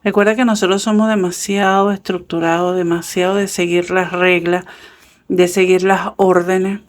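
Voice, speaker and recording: Lady doing a relaxed tarot reading, takes her time, chatty, lots of fillers. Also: medium pitch. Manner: chatty and affectionate, with frequent fillers and soft laughs. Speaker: tarot reader. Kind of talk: casual tarot reading for viewers. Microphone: camera set up over a table with cards.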